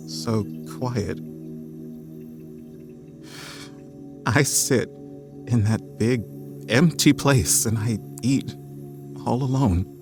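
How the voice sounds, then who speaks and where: An actor playing a grumpy, sardonic fossil scientist with a hidden grief, in an audio drama. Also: male voice, fairly low pitch. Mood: grieving. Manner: sardonic, halting when emotional. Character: grumpy, wry male fossil scientist, grieving his colleague.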